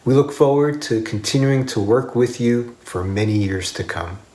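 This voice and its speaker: A man's voice: medium pitch, a little mellow, slight background noise. Formal man reading congratulations. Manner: measured, formal. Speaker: foundation representative. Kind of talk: read congratulatory message. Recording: echoey room.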